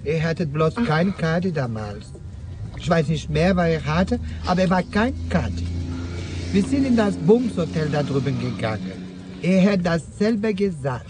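A man acting in a German radio drama as a flamboyant, excitable, gossipy street hustler in drag, drawling theatrically. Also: on the high side, measured pace. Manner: theatrical, drawling, peppers talk with Spanish exclamations. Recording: noisy street with vehicle sounds.

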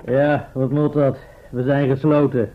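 An actor playing a surly, slow-witted town gatekeeper, blunt and stubborn, in a radio play. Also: male voice, medium pitch, little pitch movement, noisy background, close to an everyday voice. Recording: tinny, band-limited old radio sound.

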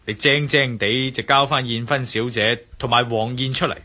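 An actor playing a menacing hero with a gun in a radio drama, commanding and sardonic, with a Hong Kong accent. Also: male voice, medium pitch, steady tone, moderate pace, bright, forceful tone. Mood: cold menace. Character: menacing male hero with a gun, demanding answers. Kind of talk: Cantonese radio drama acting.

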